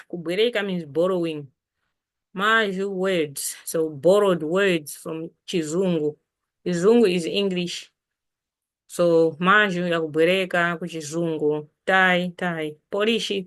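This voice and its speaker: A woman with a Malawian accent gives an informal language lesson as the teacher, repeating words deliberately for learners. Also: fairly low pitch, fairly bright tone.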